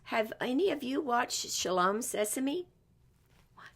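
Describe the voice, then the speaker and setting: A woman's voice: fairly high pitch, unhurried, gravelly. Encouraging woman hosting children's story time. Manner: encouraging, expressive, addresses children directly. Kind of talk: interviewing a guest for young children.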